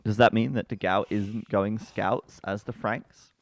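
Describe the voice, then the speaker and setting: A man casting a strategy game live, his voice sitting fairly low and sounding bassy. He talks in a loose, chatty stream with plenty of fillers, then jumps up into loud excited cries when a fight goes wrong. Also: a little slow, no echo. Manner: chatty, breaks into excited outbursts. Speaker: esports commentator.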